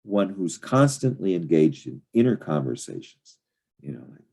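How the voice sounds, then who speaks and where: An older man giving an informal study-group lecture, reading and commenting in a halting, digressive way with unfinished sentences. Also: low-pitched, very animated, gravelly, a little mellow, breathy edge.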